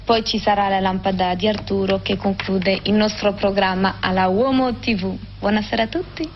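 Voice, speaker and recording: A woman's voice: medium pitch, steady tone, moderate pace. Young French-accented woman announcing on TV. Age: young adult. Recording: dull old TV clip with faint hiss.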